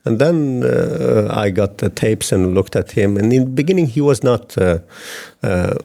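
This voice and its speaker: Low, dark-voiced hesitant man. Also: lively intonation, a little slow, vocal fry. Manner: hesitant, many fillers and restarts. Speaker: film director. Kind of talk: interview answers.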